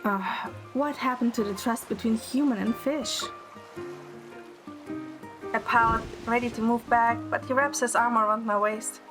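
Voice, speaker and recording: A woman's voice: fairly high pitch, steady tone, a little slow, over music, natural voice. A woman playing a wry, affectionate young heroine who narrates her own romance in an audio drama. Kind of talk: first-person narration and dialogue in audio drama.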